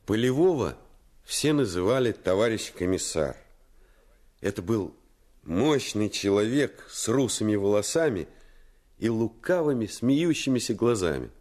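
An actor playing a grown man who recalls his boyhood, narrating a Russian radio play in an expressive, reflective literary style. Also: fairly low pitch. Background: music.

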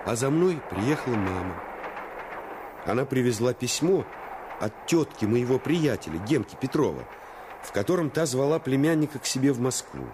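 An actor narrating a radio play in the first person as an earnest, intense storyteller recalling a boyhood adventure. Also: male voice, medium pitch, sing-song, normal speed, noisy background, close to an everyday voice. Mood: reflective. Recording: radio play with music underneath.

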